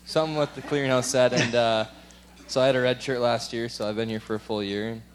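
A man in his twenties from Wisconsin talks casually in an on-stage interview. His voice is mid-pitched, that of a college athlete chatting about himself. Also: steady tone, fast talker. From Wisconsin, United States. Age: 20s. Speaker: college hockey player. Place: on stage at a live event.

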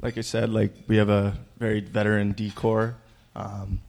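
A young man speaking in a very flat, even tone, almost without pitch movement. His voice is low and laid-back, and his answers come out plain and unhurried. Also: gravelly. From Canada. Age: 20s. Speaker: hockey team captain.

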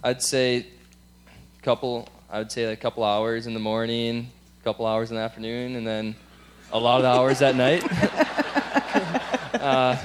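A man in his twenties from Wisconsin talks casually in an on-stage interview. His voice is mid-pitched, that of a college athlete chatting about himself. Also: level tone, unhurried, pauses often, clear, resonant tone. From Wisconsin, United States. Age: 20s. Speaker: college hockey player. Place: on stage at a live event.

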